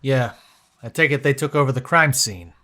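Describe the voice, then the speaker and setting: A subdued, principled police subordinate played by a man in an audio drama. He speaks in a medium-pitched voice, hesitant and deferential toward his boss. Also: moderate pace, pushed and bright, close to an everyday voice. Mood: measured.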